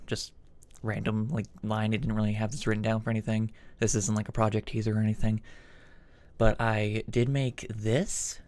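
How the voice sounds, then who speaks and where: A man hosting an informal software tutorial, thinking aloud haltingly as he demonstrates each step. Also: lowish voice. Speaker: tutorial host. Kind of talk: casual screen-recorded software tutorial.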